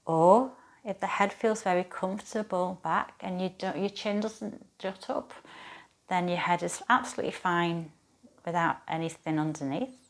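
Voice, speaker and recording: A woman leads a guided relaxation as a yoga teacher. Her voice sits a little low for a woman. She speaks gently and soothingly, leaving unhurried pauses between phrases.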